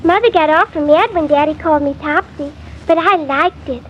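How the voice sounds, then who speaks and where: A very high, childlike little girl, acted by a woman in a 1950s radio play. She is earnest and devoted, speaking through a muffled, noisy old recording. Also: moderate pace, close to an everyday voice. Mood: sleepy, artless. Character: frightened, loyal little girl, daughter of the house. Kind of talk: 1950s radio drama acting.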